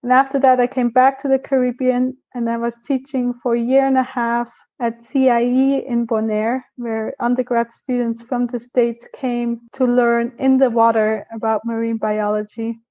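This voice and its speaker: A woman raised in Switzerland talks earnestly as a guest scientist, recounting her path with small restarts. Her voice sits fairly high but stays level and even in pitch. The sound is dull and lo-fi, like a remote call. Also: moderate pace, hardly any pauses, dry recording. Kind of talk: casual interview answers.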